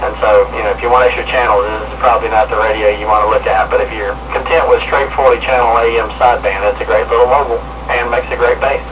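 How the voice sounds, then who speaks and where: A man's voice: medium pitch, steady tone, fast talker, few pauses. A man, middle-aged, chatting casually as a hobbyist on a two-way radio check-in. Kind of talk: casual radio check-in chat about equipment. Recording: distant, loud CB radio static and noise.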